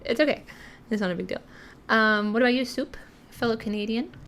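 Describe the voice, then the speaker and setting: A woman's voice: on the high side, fairly quick, a bit bright. Chatty young woman hosting a stream. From United States. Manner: chatty and giggly, many fillers. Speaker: podcast host. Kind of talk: casual streamed interview.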